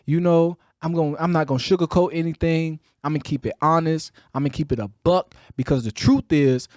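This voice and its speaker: A man's voice: on the high side, darkish tone, no echo, clean studio sound. A man with a Chicago accent hosting a motivational podcast in a preacher-like, emphatic and colloquial way, hammering key phrases through repetition. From Chicago, United States. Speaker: podcast host, motivational speaker. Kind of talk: motivational talk with preacher-like delivery.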